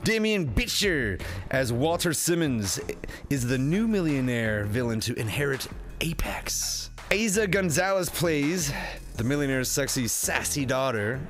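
A man's voice: mid-range voice, sing-song, a little slow, fairly bright tone. A man hosting a casual, comedic movie review in a jokey way, with some theatrical announcing. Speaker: review show host. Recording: music playing behind the voice.

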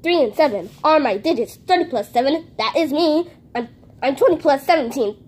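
A woman's voice: sits high, animated, moderate pace, darkish tone. A woman doing a cheerful rapping number block. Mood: upbeat. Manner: sing-song chanting rhymes. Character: cheerful boastful male number block who raps. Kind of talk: playing with paper cutouts. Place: indoors at a home table. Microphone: handheld phone camera close to table.

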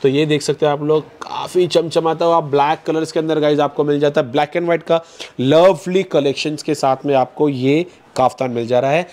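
A man in his thirties hosting a vlog, pitching clothes to viewers in quick, persuasive patter, recorded on a lapel mic in an indoor shop. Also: steady tone, darkish tone. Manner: fast, persuasive salesman patter addressing viewers. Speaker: vlogger host. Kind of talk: promotional market vlog.

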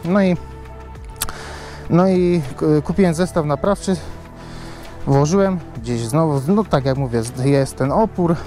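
A man's voice: a little high, unhurried, long pauses, mellow, faint music behind. A man vlogging about his motorbike in a rambling, casual way, swearing often and repeating himself. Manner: rambling, swears a lot, many fillers and repeats. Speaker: motovlogger. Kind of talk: casual chat to camera.

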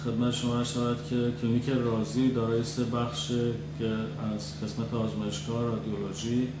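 Measured man in a humming, echoey office. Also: mid-range voice. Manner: measured and formal, with frequent hesitations and English medical terms. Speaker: clinic founder. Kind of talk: speaking on camera about his clinic. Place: office, seated in a leather chair. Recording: echoey room with loud hum. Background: steady electrical hum.

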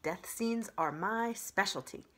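A woman voicing a theatrical, excitable, boastful female opossum from a children's storybook, with a dramatic, gushing delivery. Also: medium pitch, a little slow.

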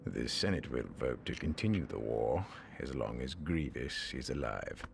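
An actor playing a sly, scheming old chancellor in a video game, with a gravelly, slow and deliberate voice. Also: male voice, rumbling low, very animated, slight background noise, full character voice. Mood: sly, calculating. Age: older.

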